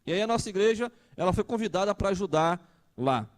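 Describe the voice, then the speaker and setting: A man's voice: high voice, expressive, quick pace. A man, preaching a conversational sermon and asking the congregation questions. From Brazil. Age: 30s. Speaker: pastor.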